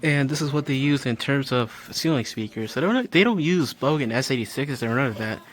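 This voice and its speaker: A man with a mid-pitched voice narrates a casual walk-through vlog. He speaks haltingly, like an enthusiast absorbed in what he is looking at. He is recorded on a handheld phone inside a big store, with some noise around him. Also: lively intonation. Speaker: amateur vlogger. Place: large home improvement store, indoors. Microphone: handheld phone while walking. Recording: store ambience, noticeable background noise.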